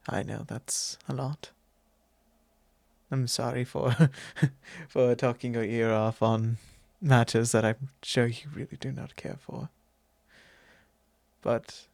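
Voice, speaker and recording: Slow, gravelly vampire lord, acted by a man. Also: medium pitch, no room sound. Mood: gentle, apologetic. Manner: measured, formal, hesitant phrasing with soft breathy drops. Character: courteous, confiding male vampire lord, tender and solemn. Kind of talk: ASMR roleplay, soft intimate monologue. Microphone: close microphone.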